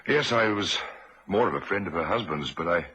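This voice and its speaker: A man's voice: low-pitched, animated, fairly quick, pushed and bright, husky, heavily acted. A man playing a courteous, concerned doctor in a vintage radio drama, speaking formally and with grave hesitations. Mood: grave, guarded. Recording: dull, muffled vintage radio recording.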